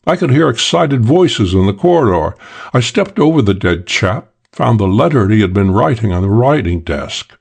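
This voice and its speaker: A man voicing a suave, self-assured English gentleman thief telling a wry crime story for an audiobook, with old-fashioned British slang. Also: fairly low pitch, sing-song, moderate pace, creaky, slightly rough, heavily acted. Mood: cool, matter-of-fact.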